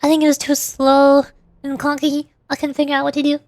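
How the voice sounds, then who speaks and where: A woman's voice: high-pitched, monotone, brisk, a little mellow, dry recording. A woman livestreamer chatting casually and playfully in a rambling way while gaming. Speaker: VTuber livestreamer.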